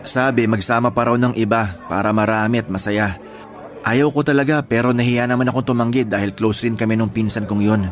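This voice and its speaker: Man acting a quiet gentle young boyfriend, radio drama, medium pitch, matter-of-fact, casual, soft music bed. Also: steady tone, a little fast, close to an everyday voice. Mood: matter-of-fact, regretful. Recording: faint music under dialogue.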